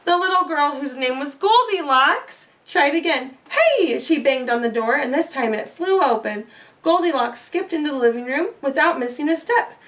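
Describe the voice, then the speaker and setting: A woman's voice: high-pitched, lively intonation, normal speed, a bit bright, far from the mic. A woman reading a children's story aloud with little asides, recorded a bit away from the mic. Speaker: storybook reader.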